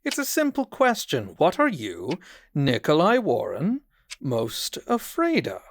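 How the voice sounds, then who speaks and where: A man's voice: a little high, huge pitch swings, measured pace, no room sound, studio quality, exaggerated voice. A man playing a condescending, falsely cheerful psychiatrist in a horror audio drama, with a smug, mock-polite manner. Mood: smug, prying. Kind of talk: theatrical audio drama acting.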